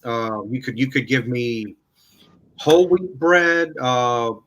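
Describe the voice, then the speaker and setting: A man's voice: mid-range voice, animated, slow, fairly bright tone. A man in his forties co-hosting a casual chat, speaking hesitantly with many restarts. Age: 40s. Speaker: co-host. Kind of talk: casual live-stream chat.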